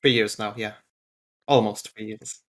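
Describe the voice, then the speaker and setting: A man, a game developer guest on a podcast, talking in a relaxed way with many pauses. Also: lowish voice. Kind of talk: casual podcast interview.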